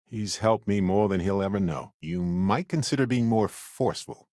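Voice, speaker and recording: A man playing a wry, warm-hearted middle-aged space explorer in a video game, conversational with earnest asides. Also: lowish voice, moderate pace, no echo, studio quality, lightly acted. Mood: tender, sincere. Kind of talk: acted video game companion dialogue.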